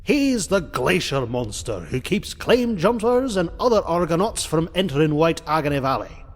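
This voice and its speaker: A man's voice: fairly high pitch, big pitch swings, moderate pace, no room sound. A man voicing a tough, proud young cartoon duck prospector in a fan comic dub, blunt and boastful. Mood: blunt, teasing.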